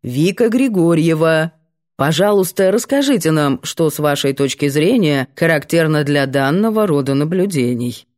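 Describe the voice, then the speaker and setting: A woman's voice: sits low, animated, moderate pace, a little mellow. Woman voicing a pompous male economics professor. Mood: lecturing. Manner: pompous, didactic. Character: stern, theatrical male economics professor, lecturing.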